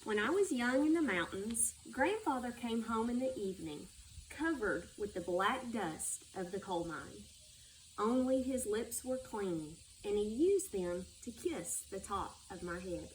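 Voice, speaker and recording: A woman's voice: mid-range voice, lively intonation, a little slow. A woman reading a children's story aloud. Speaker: school superintendent reading a children's book. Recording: distant microphone with background noise.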